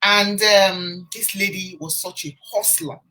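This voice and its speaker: A woman's voice: mid-range voice, fairly even intonation, measured pace, bright, forceful tone, low-quality recording. A woman confidence coach telling a story on a casual live stream, chatting to viewers and restarting her phrases as she goes. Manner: chatty, greets viewers by name, frequent restarts. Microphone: phone or webcam on a live stream.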